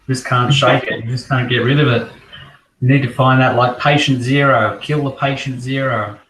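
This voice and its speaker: A croaky-voiced man fighting off a cold talks casually and at length, his tone staying flat and level. He sits a little back from the microphone in an echoey room. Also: mid-range voice, moderate pace, recorded from a distance. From Australia. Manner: relaxed, rambling collector talk. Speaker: co-host. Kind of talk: casual chat.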